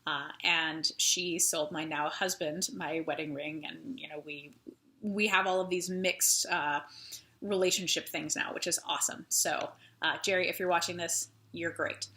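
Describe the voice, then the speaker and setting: A woman's voice: medium pitch, pushed and bright. A woman telling stories casually to camera like a teacher hosting story time, chatty and informal, with side remarks. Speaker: theatre teacher and host of a story time series.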